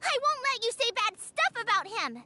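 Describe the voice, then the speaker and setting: A woman's voice: very high voice, moderate pace, background noise. A woman voicing a small, sweet, stubbornly hopeful girl creature in a video game, childlike and earnest. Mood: indignant. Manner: earnest, expressive. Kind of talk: video game character acting. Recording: music behind the voice. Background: game music.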